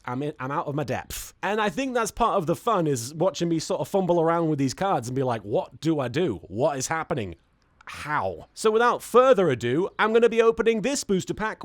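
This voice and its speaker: A man's voice: high voice, no echo, clean studio sound. A man hosting a comedic pack-opening series, talking casually to viewers in a wry, mock-indignant way with dramatic emphasis.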